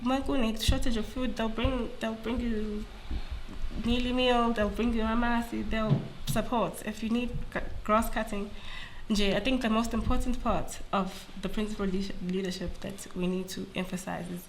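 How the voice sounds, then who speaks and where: A woman's voice: fairly high pitch, moderate pace, a little hiss or hum, poor audio. A woman, a school principal, speaking earnestly in an interview, sounding nasal from a flu. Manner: earnest, animated, mixes in Zulu phrases. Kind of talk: interview conversation.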